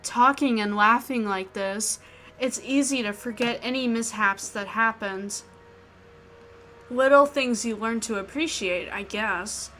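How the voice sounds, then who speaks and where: A woman's voice: on the high side, a little slow, sharp tone, faint music behind, poor audio. A woman hosting a gaming video, reading out a visual novel's narration with casual asides.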